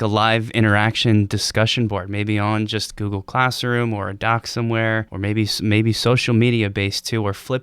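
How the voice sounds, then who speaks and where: A man's voice: lowish voice, fairly bright tone, clear, resonant tone, dry recording. A man, a teacher co-hosting a podcast, reflecting at length on his own classroom with rambling enthusiasm. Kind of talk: casual podcast discussion.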